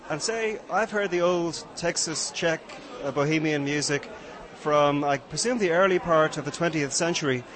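A man asks relaxed, curious interview questions out of doors, with the chatter of a crowd clear behind him. His voice sits a little higher than most men's. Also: moderate pace. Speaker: radio interviewer.

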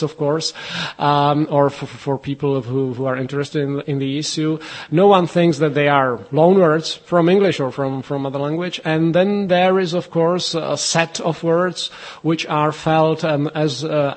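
A man's voice: on the high side, fairly even intonation, a little slow. A man with a Czech accent, a linguist answering interview questions with many fillers and restarts. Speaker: linguist, head of a language institute.

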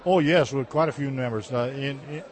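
Halting man answering questions. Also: medium pitch. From Texas, USA. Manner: halting, repeats words, plain folksy talk. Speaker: cultural center director. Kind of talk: interview answers.

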